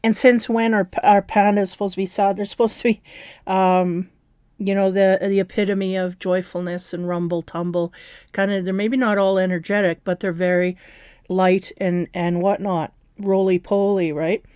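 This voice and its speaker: A woman with a raspy, hoarse voice giving a casual tarot card reading, rambling and restarting her sentences with many fillers. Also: mid-range voice, flat delivery, moderate pace, a little mellow, no echo, clean studio sound.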